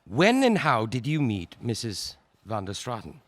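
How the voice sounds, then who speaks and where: An actor playing a formal, courteous courtroom prosecutor, speaking in a measured, solemn manner in a dramatised play. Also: male voice, mid-range voice, wildly swooping pitch, no echo. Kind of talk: stage-play courtroom acting.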